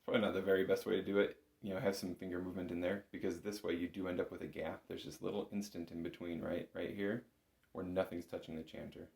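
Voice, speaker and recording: A man's voice: sits low, little pitch movement, fast talker, vocal fry, darkish tone. A man giving a step-by-step music lesson as an instructor, talking in an informal, patient, encouraging way. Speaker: bagpipe instructor. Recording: roomy, echoing room sound.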